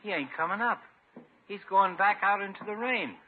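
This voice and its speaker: A man's voice: high voice, moderate pace, lightly acted. An actor playing a brash, blunt small-town working man in a 1950s radio comedy, talking in folksy slang. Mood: alert. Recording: dull, noisy 1950s radio recording.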